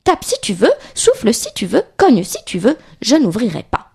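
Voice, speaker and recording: A woman's voice: fairly high pitch, huge pitch swings, moderate pace, gravelly, a little mellow, slightly rough, full character voice. A woman voicing a plucky, stubborn little male pig in a children's storybook, with a sing-song, defiant delivery. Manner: sing-song, taunting refusals.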